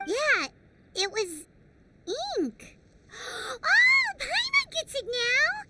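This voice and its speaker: A woman's voice: extremely high pitch, huge pitch swings, drawn-out words, heavy background noise, exaggerated voice. A woman voicing a tiny chatty fairy-like companion in a game cutscene, speaking of herself in the third person. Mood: excitable. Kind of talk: video game cutscene dubbing. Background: game music.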